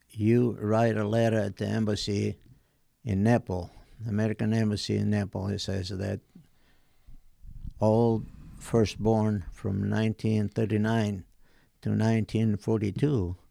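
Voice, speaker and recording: An Italian man of eighty with an Italian accent, telling his life story in halting, hesitant English as an interview guest. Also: on the low side, gravelly, mellow, no room sound, clean studio sound. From southern Italy. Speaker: podcast guest, retired tailor.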